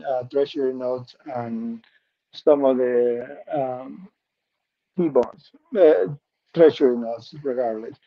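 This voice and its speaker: Peruvian-accented man telling his story, muffled. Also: mid-range voice. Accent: Peruvian Spanish. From Peru. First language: Spanish. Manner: earnest, repeats words and restarts often. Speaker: guest. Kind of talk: personal life story interview. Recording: muffled lo-fi audio.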